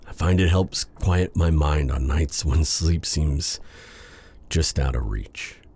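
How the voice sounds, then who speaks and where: A man playing a feared dark sorcerer with a tender side, in a courtly, romantic fantasy ASMR roleplay style. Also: very deep voice, slow, breathy edge, heavily acted. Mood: flustered, tender. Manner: courtly, formal phrasing with endearments. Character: feared dark sorcerer, tender and flustered toward his captive. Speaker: voice actor in audio roleplay.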